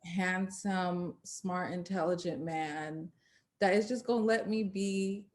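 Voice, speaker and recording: A woman's voice: on the low side, steady tone, takes it slowly, darkish tone. A woman in her late twenties hosting a casual interview, talking openly and candidly and laughing often. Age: 20s. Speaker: interview host. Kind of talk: casual chat.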